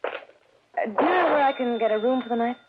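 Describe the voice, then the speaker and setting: A woman voicing a hesitant young stranger in an old radio play. The sound is tinny like a phone line, with clear hiss underneath. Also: on the high side, lively intonation, brisk, lightly acted. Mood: wary. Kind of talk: 1940s radio acting.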